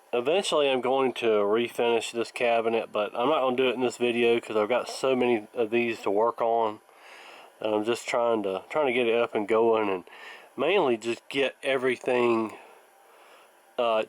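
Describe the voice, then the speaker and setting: A man giving casual hands-on workshop commentary, thinking aloud in a halting, plainspoken, folksy way, like a hobbyist hosting a repair video. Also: medium pitch, low-quality recording.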